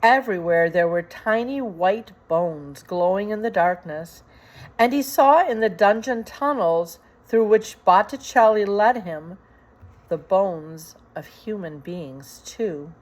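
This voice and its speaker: Slow, deliberate woman storyteller. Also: mid-range voice, expressive, a little mellow. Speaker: storybook reader. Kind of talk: scripted dramatic storybook narration.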